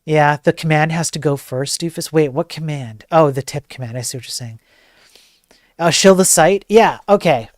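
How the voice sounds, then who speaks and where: A man with a soft voice chatting casually to viewers as a livestream host, in an informal, joking, rambling way. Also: on the high side, moderate pace, dry recording, studio quality.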